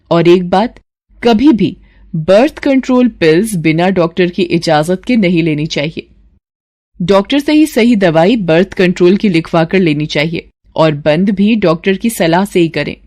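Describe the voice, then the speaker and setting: A woman's voice: on the low side, darkish tone, lightly acted. A woman storyteller voicing a female family doctor who advises patients in a reassuring, explanatory way. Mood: reassuring, firm. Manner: advising in measured, explanatory sentences.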